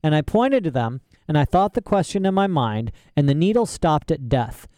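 A man's voice: on the high side, sing-song, normal speed, dark tone, no echo, studio quality. A man voicing an eager, curious young girl in a storybook reading, with rustic grammar and questioning tone.

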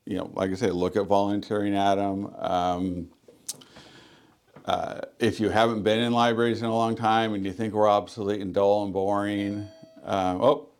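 A man with a fairly low voice talks as a guest on a radio interview. He is a librarian and speaks loudly, with many ums, restarts and friendly asides. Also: flat delivery, moderate pace, clear, resonant tone. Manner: loud, chatty, many fillers.

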